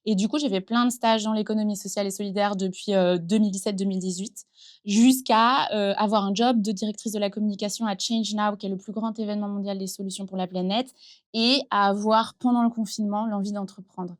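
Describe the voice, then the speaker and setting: A thirty-year-old woman from western France talks through her path in an earnest, reflective way. Her voice sits at a middling pitch, and she speaks in a steady flow with many small fillers. She was recorded in a small improvised studio. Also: brisk. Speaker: entrepreneur guest. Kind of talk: casual interview conversation. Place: improvised studio in a concert hall dressing room.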